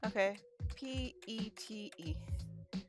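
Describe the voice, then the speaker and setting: A woman chatting casually as she plays a game with friends, with an excitable, giggly manner. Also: medium pitch, slow pace, over music. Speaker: game player, streamer. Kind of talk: casual playthrough chat with friends, some dialogue read aloud.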